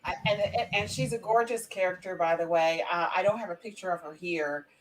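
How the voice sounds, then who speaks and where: A woman's voice: lowish voice, a little fast, a crowd in the background, poor audio. A woman hosting a casual live show in an informal, rambling way, often correcting herself as she goes. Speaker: talk show host.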